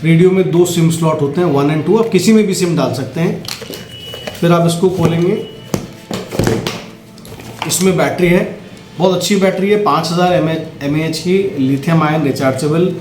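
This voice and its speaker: A man's voice: on the high side, normal speed, pauses often, a little mellow. A man giving a casual, instructional product demo, speaking directly to viewers and checking in often as he explains each step. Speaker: product demonstrator. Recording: roomy echo, faint music.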